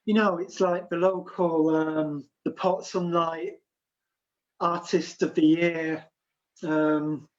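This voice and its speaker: Slow, calm man on a lo-fi call. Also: fairly high pitch. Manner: calm, hesitant, filler-heavy delivery. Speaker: improv performer. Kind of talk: improvised comedy scene. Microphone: video call. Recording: dull lo-fi video call audio.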